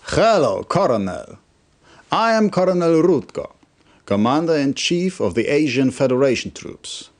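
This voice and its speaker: An actor playing a self-assured enemy colonel, speaking formally in short, deliberate phrases in a game cutscene. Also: male voice, fairly high pitch, big pitch swings. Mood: cool, commanding. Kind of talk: scripted game cutscene message.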